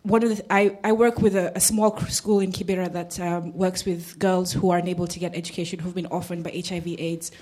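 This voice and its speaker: Halting, earnest woman from Kenya. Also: fairly low pitch, little pitch movement, a little mellow. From Kenya, Nairobi. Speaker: audience member, conflict studies student. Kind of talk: audience comment at a public panel.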